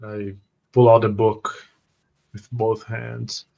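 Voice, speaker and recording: A man playing a tabletop roleplay game, narrating his actions in a halting, word-repeating way. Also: on the low side, monotone, creaky, mellow, rough recording.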